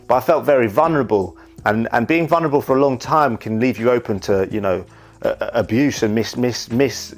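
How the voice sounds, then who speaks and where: A young man talking candidly about his life, rambling and often saying "you know". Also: mid-range voice. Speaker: YouTuber and wedding videographer. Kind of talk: reflective casual monologue.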